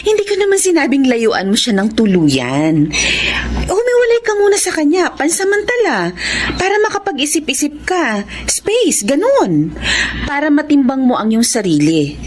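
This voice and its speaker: A woman's voice: sits high, huge pitch swings, normal speed, a little mellow. An actress with a Filipino accent playing a frank, caring boss in a radio drama, coaxing and emphatic as she advises. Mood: advising, coaxing. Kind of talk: Tagalog radio drama acting.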